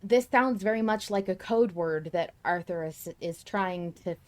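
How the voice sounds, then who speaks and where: A woman co-hosting a podcast, chatting casually and enthusiastically about a story as a fan, with an expressive, giggly manner. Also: fairly low pitch, unhurried. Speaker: podcast co-host. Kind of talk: casual fan discussion of an audio drama.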